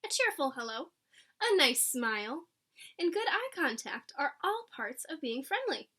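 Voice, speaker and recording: A woman reading a picture book aloud to children like a teacher, animated and explanatory, acting out lines and adding asides. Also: sits high, measured pace.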